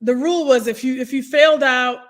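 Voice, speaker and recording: A woman in her thirties whose first language is French, telling stories as an energetic guest on a podcast recorded over a video call from home. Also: sits high, fairly quick. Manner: energetic storytelling, expressive hands. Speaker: guest, nurse career coach. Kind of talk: conversational podcast interview. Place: home room, indoors. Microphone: desk microphone on video call.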